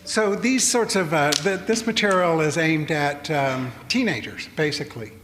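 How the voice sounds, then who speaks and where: An older man giving a public lecture, talking in a halting way with many fillers and restarts. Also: fairly high pitch, fairly bright tone, faint music behind. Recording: long hall reverb, faint noise.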